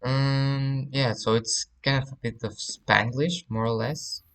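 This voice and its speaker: A man with a Spanish accent, a native Spanish speaker, giving a casual game tour and often pausing to search for words. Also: mid-range voice, flat delivery, a bit bright. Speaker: let's play creator.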